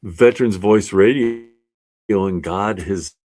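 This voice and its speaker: A man's voice: lowish voice, takes it slowly, darkish tone. A man in his sixties speaking as an art resilience instructor, giving a casual, earnest and encouraging talk to his class in a plainspoken way.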